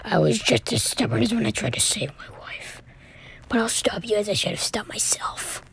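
A woman voicing a tormented, grieving villain in a cartoon, brooding and menacing in a hoarse, breathy whisper. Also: medium pitch, animated, moderate pace.

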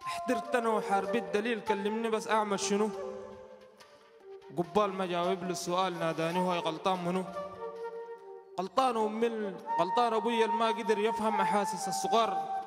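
A man's voice: high-pitched, fairly even intonation, rapid-fire. A man reciting a rhythmic spoken-word poem on a stage, into a handheld microphone. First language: Arabic. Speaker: poet performing his poem. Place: large hall on a stage with an audience. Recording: reverberant hall with music.

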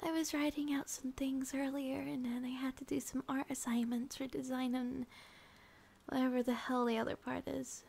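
A woman playing a sleepy, affectionate young schoolgirl girlfriend in an ASMR roleplay, speaking softly and breathily, often near a whisper. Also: sits high, flat delivery, normal speed. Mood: drowsy, chatty. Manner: soft, breathy, often whispering, with sighs and giggles.

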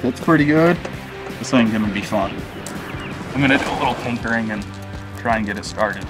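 A relaxed, upbeat man talks to his camera outdoors in a mid-range voice. Loud music plays under his vlog narration. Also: medium pitch, brisk. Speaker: guest vlogger.

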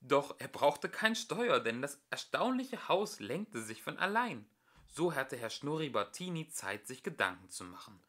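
A man's voice: mid-range voice, sing-song, unhurried, fairly bright tone. A man narrating a children's storybook expressively, as an amateur audiobook reader.

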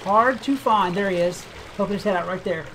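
A game video creator giving casual gameplay commentary, thinking aloud in a relaxed, chatty way. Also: medium pitch, fairly quick, background noise. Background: game music.

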